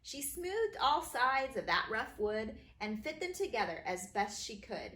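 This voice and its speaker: A woman teacher reading children's stories aloud in an expressive, sing-song way for young listeners. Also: a little high, a little slow. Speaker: kindergarten teacher reading her own stories. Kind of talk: animated children's storybook reading. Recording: roomy echo, faint background noise.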